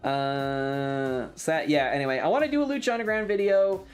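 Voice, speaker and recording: A man's voice: on the high side, normal speed. Man rambling casually over background music. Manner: informal, sweary, thinking aloud and voicing own thoughts. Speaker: streamer and video creator.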